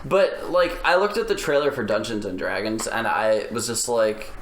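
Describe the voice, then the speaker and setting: A man's voice: lowish voice, moderate pace, a bit bright. A man on a podcast panel giving casual opinions emphatically, repeating himself for effect. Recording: echoey room with background noise.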